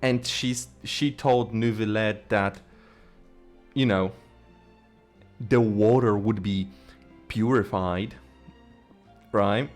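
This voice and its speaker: A man streaming a game, talking slowly in a lowish voice as he rambles through excited theories and the odd curse. Faint game music runs underneath. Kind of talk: casual gameplay commentary.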